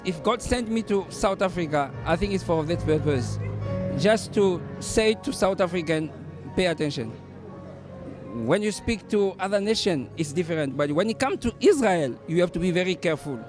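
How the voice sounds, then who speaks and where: A man from Congo with a Congolese accent, a reverend answering interview questions at a dinner event, earnest and halting with many fillers and restarts. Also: high voice. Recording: music playing behind.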